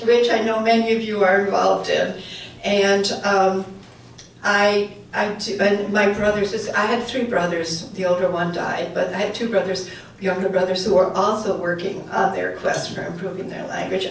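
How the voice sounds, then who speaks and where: A woman's voice: mid-range voice, slight background noise. An older woman whose first language was Welsh, reading her poems at a luncheon and chatting hesitantly between them. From born in England, raised in central New York. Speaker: poet reading at a society luncheon. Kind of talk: poetry reading with spoken introductions. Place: banquet hall. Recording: very echoey hall from far off.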